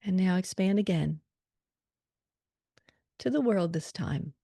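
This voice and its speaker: A woman leading a guided meditation prayer in a calm, soothing manner, leaving gentle pauses between short phrases. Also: fairly low pitch, creaky, dry recording.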